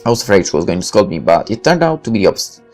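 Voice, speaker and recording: A man's voice: lowish voice, very animated, very fast, a little mellow, soft background music. A man reading first-person visual novel narration aloud in a dramatic style.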